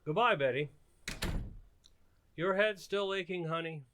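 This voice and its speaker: A man's voice: high voice, a little slow, fairly bright tone, slight background noise. A man playing an earnest, fussy suburban husband and father in an old-time radio sitcom. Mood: concerned.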